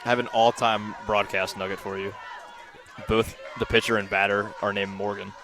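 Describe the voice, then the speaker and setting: A man giving conversational color commentary and analysis on a live softball broadcast. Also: fairly low pitch, little pitch movement, normal speed, low-quality recording.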